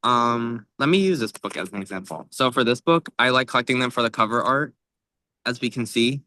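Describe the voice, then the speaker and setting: Bright-voiced young man, casual podcast co-host. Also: medium pitch, fairly quick, no room sound. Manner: joking, rambling with frequent "like". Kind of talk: casual chat.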